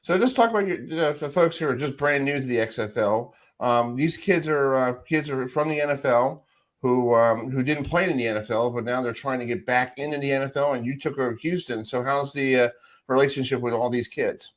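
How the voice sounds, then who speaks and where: A man's voice: medium pitch, brisk. A middle-aged man hosting a sports radio show, asking informal, rambling questions in a casual chat.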